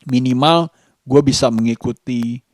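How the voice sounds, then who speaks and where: A man, giving a casual vlog monologue in halting, deliberate short phrases. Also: mid-range voice, dry recording, clean studio sound. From Indonesia. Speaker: vlogger.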